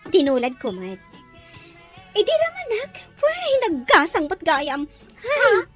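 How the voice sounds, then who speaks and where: A coy young schemer out to charm a rich man, played by a woman, in a radio-style drama. Her voice is very high and swoops widely, with drawn-out, unhurried lines over music. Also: huge pitch swings, fairly bright tone, heavily acted. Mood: gleeful scheming. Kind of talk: Ilocano audio drama acting.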